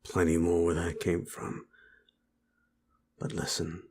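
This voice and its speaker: Gravelly, low-voiced gruff werewolf played by a man. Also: monotone, darkish tone, slight background noise. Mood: gruff, tender. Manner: blunt, coarse, halting, coaxing. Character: gruff, cocky male werewolf turning protective of partner. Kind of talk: intimate audio roleplay acting.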